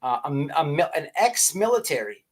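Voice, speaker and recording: A man's voice: on the high side, very animated, moderate pace, breathy edge. A man hosting a casual livestream, ranting and chatting to viewers in profane slang, often stammering and repeating his words. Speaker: livestream host.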